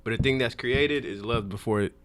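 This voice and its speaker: Male co-host, mid pitch, slow, measured reading, laid-back. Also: a bit bright. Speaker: co-host reading the quote of the day. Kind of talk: casual chat, reading quote.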